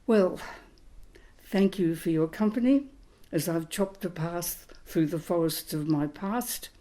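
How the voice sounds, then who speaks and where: A woman in her eighties, a historian, reading a reflective talk in a measured, literary way. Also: fairly low pitch, warm tone. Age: 80s. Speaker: historian giving a recorded talk. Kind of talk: reflective scripted lecture.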